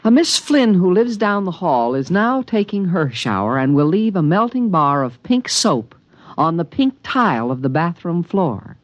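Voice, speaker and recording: A man's voice: high-pitched, very animated, normal speed, dry recording, dull audio. A man voicing an alien creature that protects a human, speaking in a precise, matter-of-fact, earnest way, in a 1950s radio drama. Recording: dry studio, background music.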